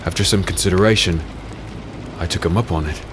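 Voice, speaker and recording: A man playing a grave, protective swordsman husband in a dark fantasy audio drama, speaking earnestly with dramatic pauses. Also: low voice, background noise, lightly acted. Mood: grave, reluctant.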